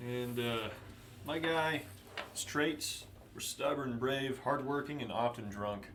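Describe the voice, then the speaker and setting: A man's voice: medium pitch, slow, a bit bright, a little hiss or hum. A man playing a tabletop game, casually describing his character with hesitant fillers. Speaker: player.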